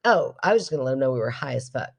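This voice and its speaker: A woman's voice: very deep voice, lively intonation, very fast, gravelly, breathy edge. A woman co-hosting a podcast in casual comedic chat, giggly, exclamatory and given to swearing. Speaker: podcast co-host.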